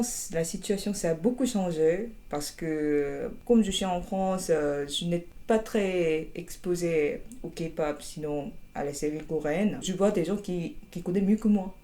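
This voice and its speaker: A young Korean woman with a Korean accent, answering interview questions in an unhurried, hesitant way, with many fillers and restarts and a dry, wry humor. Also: sits low, dark tone. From South Korea. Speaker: interviewee, master's student.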